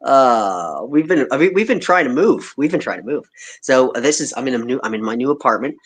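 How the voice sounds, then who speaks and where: A man co-hosting a relaxed online chat, talking in an animated, ranting way. He tells stories and slips into quick voices to mimic other people. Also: mid-range voice, breathy edge. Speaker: co-host. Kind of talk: casual livestream chat.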